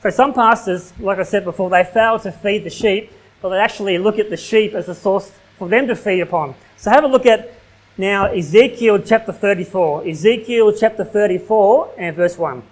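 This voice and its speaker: An Australian man in his thirties delivers a sermon from a pulpit in a small church hall. His voice sits high for a man, and his talk mixes plain everyday phrasing with formal scripture reading. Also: normal speed. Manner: preaching at a pulpit, reading King James verses aloud, casual fillers like "like". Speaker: pastor.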